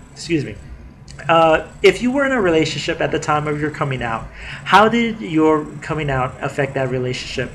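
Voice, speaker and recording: A man's voice: on the high side, animated, normal speed, fairly bright tone, slight background noise. A young man talking casually to his webcam in a living room, a little nasal from a cold, with lots of fillers and restarts. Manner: chatty, many fillers and restarts. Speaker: vlogger. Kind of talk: casual video diary. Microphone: webcam at desk distance.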